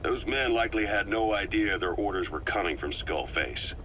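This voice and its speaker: A male actor playing a grave, calculating military intelligence man, delivering a deliberate, ominous briefing as a video game audio log. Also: lowish voice, lively intonation, bright, forceful tone, low-quality recording. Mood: ominous, brooding. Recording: faint noise, cassette tape briefing.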